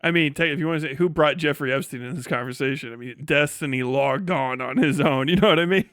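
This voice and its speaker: A man's voice: a little high, fast talker, a bit bright, dry recording, studio quality. A man giving casual, opinionated livestream commentary in a blunt, sarcastic and profane way. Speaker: livestream host reacting to a debate video.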